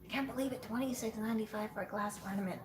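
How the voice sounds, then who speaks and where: A woman's voice: medium pitch, racing, noisy background. Chatty teasing woman in a roomy shop. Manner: chatty, teasing, laughs often. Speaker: live stream co-host. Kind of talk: casual chat on a live stream.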